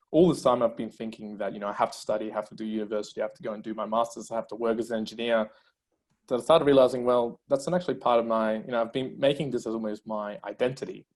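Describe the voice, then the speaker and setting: A man in his thirties, born in Colombia and a Spanish speaker, telling his life story as a guest in a casual interview. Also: fairly low pitch. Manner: energetic storyteller, many fillers.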